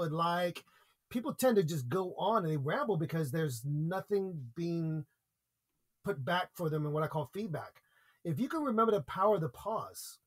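Earnest American man coaching on speaking. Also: high voice. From United States. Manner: earnest, explains with examples and repeated points. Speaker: podcast guest, public speaking coach. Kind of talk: conversational podcast interview.

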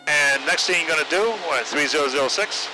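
A man's voice: on the high side, a little fast. A man working as a flight instructor, giving encouraging, directive hands-on instruction through an aviation headset in a small plane cockpit. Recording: loud engine noise over headset intercom.

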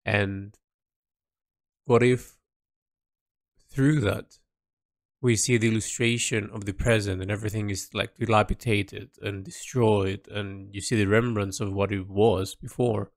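A man thinking aloud in an audio log about the story he is writing and illustrating, halting and restarting often. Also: fairly low pitch, fairly even intonation, dry recording, studio quality. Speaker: creator discussing his illustrated story project. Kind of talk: casual spoken audio log.

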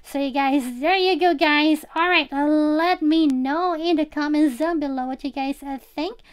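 A woman's voice: high voice, a little slow. A woman giving a casual, chatty game tour as a channel host, speaking directly to viewers.

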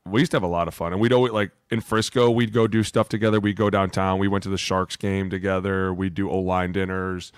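Low-voiced man, slangy sports podcast talk. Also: flat delivery, quick pace, few pauses, clear, resonant tone, dry recording, clean studio sound. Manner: profane, slangy bro talk. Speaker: former NFL lineman, podcast co-host. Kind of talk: casual sports podcast chat. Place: indoor room, remote video podcast. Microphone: close podcast microphone with headset.

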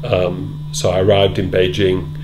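A middle-aged man being interviewed in a wood-panelled room, answering in a measured, thoughtful way with many ums and repeated words. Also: sits low, little pitch movement. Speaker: interviewee, former British Council officer. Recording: loud hum behind.

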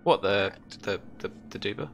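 A man's voice: fairly low pitch, moderate pace, gravelly, muffled recording, a little hiss or hum. A young man working as game master, casually giving rulings and narrating the scene in an easygoing, informal way. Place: indoor room at home, video call.

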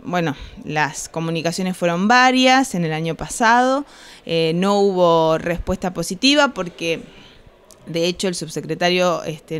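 Middle-aged Argentine woman, councillor in an office interview, very clear smooth voice, slightly low, formal and measured. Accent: Argentine Spanish. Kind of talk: explanatory news interview. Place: small office, indoors. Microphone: handheld reporter's microphone held close.

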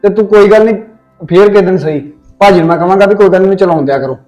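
A man playing a fast-talking fond older brother. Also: high voice, a little mellow, highs cut off, faint music behind, close to an everyday voice. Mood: reassuring. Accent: Punjabi. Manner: fond, teasing reassurance. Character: indulgent older brother, fond, mildly exasperated. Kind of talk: comic family skit acting.

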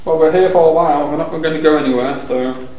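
A man in his thirties, a paranormal investigator, calmly coaxing spirits with a self-deprecating manner. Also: a little high, fast talker, recorded from a distance, lo-fi sound. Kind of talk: casual calling out to spirits. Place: dark abandoned factory at night, indoors. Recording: echoey hall with background noise.

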